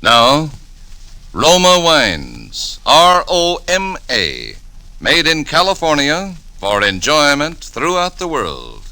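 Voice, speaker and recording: A man announcing and reading ads on a 1940s radio drama, smooth and persuasive. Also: on the high side, wildly swooping pitch, slow pace, bright, forceful tone, no echo. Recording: dry old 1940s radio recording.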